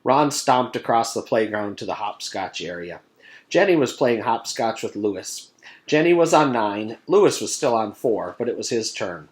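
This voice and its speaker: A man's voice: fairly low pitch, animated, moderate pace. A man who reads a children's storybook aloud as a teacher would to a young class. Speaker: teacher reading aloud to a third grade class. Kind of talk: animated children's storybook narration.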